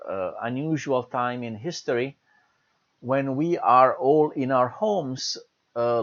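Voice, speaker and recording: A man's voice: medium pitch, highs cut off. A man with an Italian accent, Italian as his first language, giving an online lecture from home, enthusiastic and encouraging with many fillers. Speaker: university lecturer.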